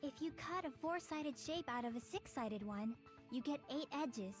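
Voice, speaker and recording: A woman's voice: high-pitched, lively intonation, moderate pace, soft background music. A woman playing a young video game character who is explaining a puzzle. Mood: explanatory.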